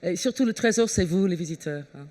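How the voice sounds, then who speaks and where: A woman's voice: lowish voice, expressive, fairly quick, warm tone. A woman hosting a trade show stage, welcoming the audience and introducing a speaker in a chatty way with frequent fillers. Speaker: event host.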